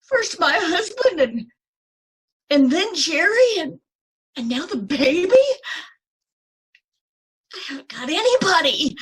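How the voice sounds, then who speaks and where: A woman in a radio drama playing a bitter, grieving grandmother who is widowed and alone, speaking in a halting, resentful way. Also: very high-pitched, big pitch swings, a bit bright, full character voice, rough recording. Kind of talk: 1950s radio drama acting.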